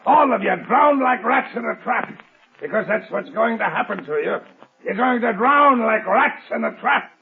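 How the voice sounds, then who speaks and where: A high, gravelly-voiced villain in a 1930s radio drama. He is a ruthless double-crosser who taunts and threatens. The old recording is narrow and noisy. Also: lively intonation, normal speed, crowd noise, heavily acted. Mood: taunting. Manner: menacing, taunting. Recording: scratchy band-limited 1930s radio, echoey, noisy.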